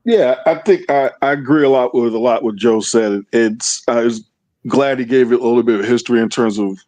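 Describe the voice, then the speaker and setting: A man's voice: medium pitch, a little fast, darkish tone, no room sound. Man chatting on a dry-sounding review panel. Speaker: podcast panelist reviewing an audio drama. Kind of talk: casual review discussion.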